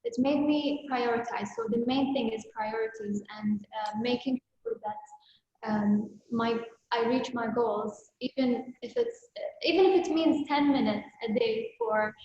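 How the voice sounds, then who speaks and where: A woman's voice: on the high side, measured pace, darkish tone. Young woman artist chatting on a call, kinda hesitant, super echoey, bit of noise. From born in Ukraine, with a Kuwaiti side. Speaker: guest artist and entrepreneur. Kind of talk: artist talk answers, conversational. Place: indoor room, on a virtual talk. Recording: boxy echoing room, muffled online call, faint noise.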